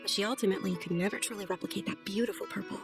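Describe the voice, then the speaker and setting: An actress playing a polite, gentle young noblewoman with an admiring, devout temper in a video game. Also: medium pitch, very fast, over music, close to an everyday voice. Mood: sincere. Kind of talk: video game character voice acting.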